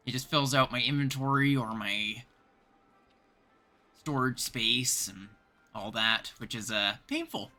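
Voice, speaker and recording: A man's voice: mid-range voice, sharp tone. A man with a Canadian accent, chatting casually as a livestream host and thinking aloud while he plays.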